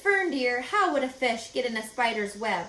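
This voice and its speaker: A woman's voice: a little high, sing-song, normal speed, pushed and bright, pitched above the performer's own voice. A woman voicing an anxious, stern and proper mother in a storybook reading, with a fretful, scolding manner. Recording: echoey room, faint background noise.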